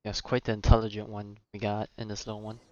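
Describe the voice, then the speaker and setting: A man's voice: fairly low pitch, completely flat delivery, fast, a little mellow, dry recording. A man streaming a video game, giving casual gameplay commentary into a close, slightly dull microphone.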